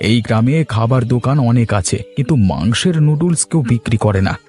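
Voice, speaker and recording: A man's voice: mid-range voice, expressive, brisk, mellow, faint music behind, natural voice. A man voicing a proud, hopeful poor husband in a cartoon, earnest and resolute. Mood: earnest, planning. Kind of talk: cartoon dialogue acting.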